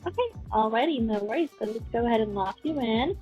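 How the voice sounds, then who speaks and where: A woman's voice: on the high side, animated, quick pace, darkish tone. A young woman working as a customer service booking agent on a phone call, speaking in a patient, polite and reassuring way. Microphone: telephone. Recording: muffled phone call recording, music behind.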